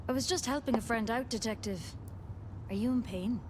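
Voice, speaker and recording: A woman acts out a gentle video game healer who is shaken and forgetful. Her fairly high voice is halting and wistful, with a faint rumble behind it. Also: natural voice. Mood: wistful, weary.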